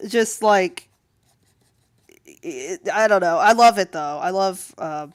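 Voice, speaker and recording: Woman, clear smooth voice, dry close sound, casual rambling livestream chat, amused, animated delivery. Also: mid-range voice, slow pace, dry recording. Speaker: comic artist streaming while drawing.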